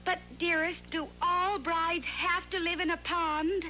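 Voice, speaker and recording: High, pouty cartoon princess. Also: female voice, level tone, unhurried, pushed and bright, soft background music, full character voice, low-quality recording. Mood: pleading. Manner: pleading, pouty. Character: beautiful young princess, pleading, spoiled young wife. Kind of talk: animated cartoon fairy-tale acting.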